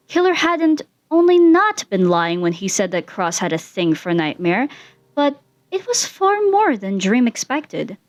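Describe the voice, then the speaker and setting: A woman reading fan fiction as an expressive scripted story narrator. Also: on the high side.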